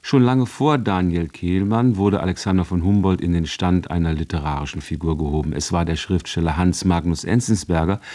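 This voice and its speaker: A man presenting a radio programme, reading a scripted introduction in a measured, factual style. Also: deep voice, dark tone.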